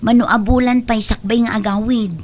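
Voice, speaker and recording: A woman's voice: a little high, steady tone, fairly quick, darkish tone, lightly acted. A woman with a Filipino accent playing a young, dutiful daughter in a comedy drama, chatty and reassuring. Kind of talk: amateur comedy drama acting.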